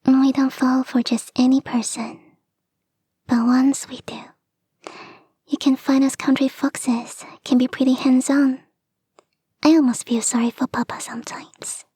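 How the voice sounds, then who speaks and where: A woman voicing a clingy young kitsune fox girl in an intimate ASMR roleplay, soft and breathy, often whispering, coaxing and sweetly insistent, close to the microphone. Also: high-pitched, flat delivery, unhurried, long pauses, no room sound, heavily acted. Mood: coy, confiding. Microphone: close, dry microphone.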